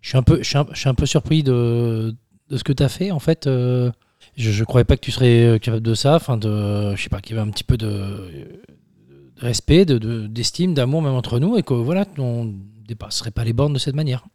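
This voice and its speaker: An indignant, wounded male flatmate acted by a man in an improvised radio comedy. His medium-pitched voice stumbles and repeats words as he reproaches, in a very dry, close studio sound. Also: no echo, lightly acted. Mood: awkward, reproachful. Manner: halting, stammering reproach with many repeated words.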